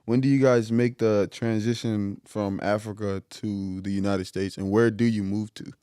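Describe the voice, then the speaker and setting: A man's voice: lowish voice, fairly even intonation, normal speed, mellow, clear, resonant tone, no echo, clean studio sound. A man hosting a podcast, asking questions in a laid-back, slangy way. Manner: laid-back slang, "you know what I'm saying", "man", "bro". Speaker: podcast host. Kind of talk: casual interview chat.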